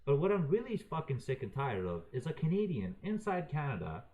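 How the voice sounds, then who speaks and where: A man rants about his gripes, heated and exasperated and swearing freely. His pitch climbs and dips a lot as he pushes each point. He speaks off the cuff, straight to his viewers. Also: huge pitch swings, fairly quick, phone-quality sound. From raised in Croatia. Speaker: video ranter. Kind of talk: unscripted opinion rant.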